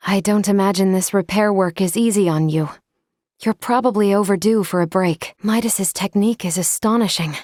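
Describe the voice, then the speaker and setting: An actress voicing a composed, polite female android in a video game, speaking in formal, measured, precise phrases. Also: medium pitch, darkish tone, no room sound, studio quality, close to an everyday voice. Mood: calm, polite. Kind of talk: scripted video game character lines.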